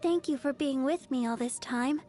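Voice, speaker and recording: A woman voicing a very high-pitched, sweet young idol girl. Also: normal speed, dark tone, soft background music, close to an everyday voice. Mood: heartfelt. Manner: sweet, earnest, bubbly. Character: cheerful, devoted young girl idol healer. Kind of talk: video game character voice lines.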